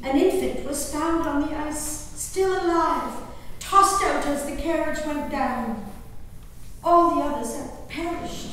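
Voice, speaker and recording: High-voiced grieving gentlewoman in an echoey hall, acted by a woman. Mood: distressed, somber. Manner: emotive, deliberate, drawn-out words. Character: grieving, wayward colonial-era gentlewoman and mother, outwardly dutiful. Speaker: stage performer. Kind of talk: theatrical dramatic reading of a diary. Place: live performance in a large echoey room. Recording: echoey hall, distant mic, faint noise.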